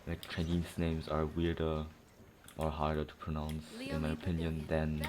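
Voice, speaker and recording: A man's voice: very deep voice, completely flat delivery, moderate pace, a little mellow, slight background noise. A man giving casual, rambling, hesitant commentary as he plays through a video game. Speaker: gaming streamer. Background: game music.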